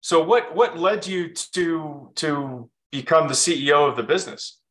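A man's voice: fairly high pitch, lively intonation, moderate pace, fairly bright tone. A man hosting an interview podcast in a casual, chatty style.

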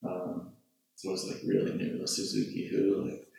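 A man sharing in a group circle, halting and earnest with many pauses and fillers. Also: low voice, monotone, creaky, darkish tone. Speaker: sangha member sharing. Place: meditation hall. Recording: very echoey hall.

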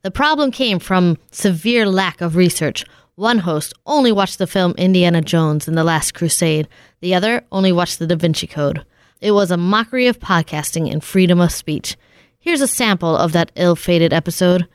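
A woman playing an earnest, authoritative secret-lab scientist in a retro radio play, speaking formally and gravely. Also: fairly low pitch, no echo, studio quality, lightly acted. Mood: grave, urgent. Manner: formal, grave, deliberate exposition. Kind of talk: 1940s-style radio play acting.

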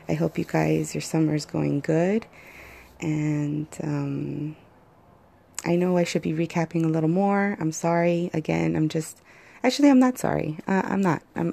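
A woman hosting a casual solo podcast, talking into her phone with a hoarse voice and many pauses and fillers. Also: on the low side, dark tone. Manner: rambling, hesitant, many fillers. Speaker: podcast host.

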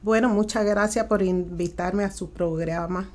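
A woman with a Cuban accent, answering interview questions as a guest in plain, unhurried sentences with pauses. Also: mid-range voice, steady tone, a little mellow. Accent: Cuban Spanish. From Cuba.

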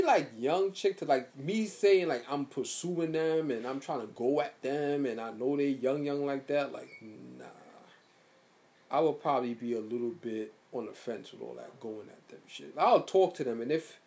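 A man's voice: moderate pace, vocal fry. A man in his thirties in casual chat as a podcast co-host, talking in loose slangy bursts. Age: 30s. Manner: laid-back slangy talk, lively pitch swings.

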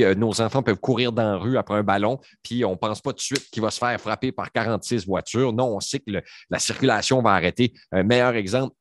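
A man in his forties holds forth on a discussion panel. His voice sits low, and he rambles emphatically, circling back through fillers. The sound is close and dry, with no room around it. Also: dry recording. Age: 40s.

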